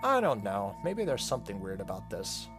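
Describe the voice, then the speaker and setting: Low-voiced man doing game commentary over music. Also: wildly swooping pitch, normal speed. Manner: reads dialogue aloud, breaking off into casual asides. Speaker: gaming video host. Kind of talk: playthrough commentary mixed with reading game text aloud. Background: game music behind the voice.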